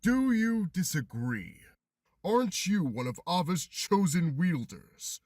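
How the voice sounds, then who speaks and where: A ruthless, power-hungry master of warriors, acted by a man for a game fandub. He speaks slowly and solemnly in a fairly high male voice, with commanding, wide-ranging intonation. The sound is close and dry. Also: sing-song, darkish tone, no room sound, full character voice. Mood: stern, challenging. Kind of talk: anime-style game fandub acting.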